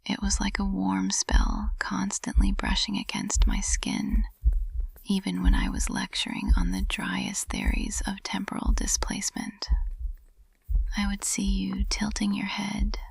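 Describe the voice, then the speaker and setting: A woman playing a composed witch professor unraveling with love in an intimate ASMR roleplay, whispering in a breathy, husky, halting and tender way. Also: medium pitch, exaggerated voice. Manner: slow, halting, tender, flustered.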